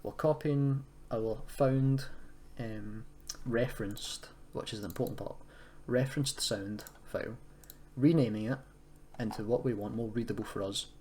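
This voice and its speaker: A man's voice: medium pitch, measured pace, hardly any pauses, darkish tone. A young man giving a casual, unscripted coding tutorial, explaining in a hesitant, self-correcting way. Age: young adult. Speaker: tutorial presenter, university student. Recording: clear background noise, keyboard typing. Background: computer keyboard typing and noise.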